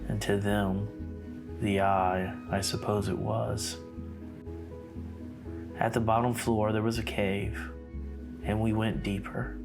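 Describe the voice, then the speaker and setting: A man playing a guarded investigator who infiltrated a cult, narrating his account in a measured, somber, diary-like way. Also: on the low side, close to an everyday voice. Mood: uneasy wonder. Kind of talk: scripted horror narration, diary-like. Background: music.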